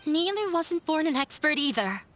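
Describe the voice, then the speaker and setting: A woman voicing a shy but resolute young daughter in a video game, speaking in a measured, formal, earnest way. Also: sits high, very animated, fairly bright tone, soft background music. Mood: shy, hopeful.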